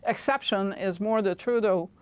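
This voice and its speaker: A woman commentator speaks in a measured tone, setting out rhetorical questions about politics. The room sounds dry and close. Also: medium pitch, clear, resonant tone, no echo. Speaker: political panelist. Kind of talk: political panel discussion.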